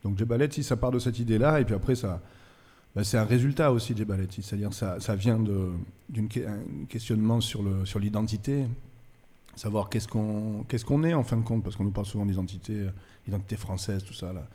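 A reflective, low-voiced male musician. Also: a little fast, warm tone, big-room sound. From France. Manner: thoughtful, searching, with fillers. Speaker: musician guest. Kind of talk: reflective interview storytelling. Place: cultural centre venue.

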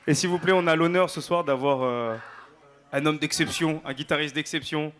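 A man's voice: a little high, moderate pace, fairly bright tone, slight background noise. A male singer chatting casually as an interview guest on stage, informal and playful.

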